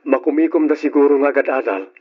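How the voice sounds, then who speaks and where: Radio drama role: devoted, unsure boyfriend, Ilocano-accented man, played by an actor, slightly husky, dull lo-fi recording. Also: a little high, quick pace, close to an everyday voice. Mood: fond, easygoing. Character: devoted but hesitant boyfriend, gentle and apologetic. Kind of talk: old-time Ilocano radio drama acting.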